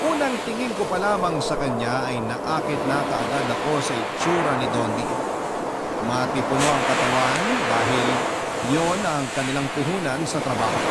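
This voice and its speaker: A man's voice: medium pitch, heavy background noise. A man narrating a letter in the first person as scripted radio drama storytelling. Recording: loud music bed under voice. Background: loud music.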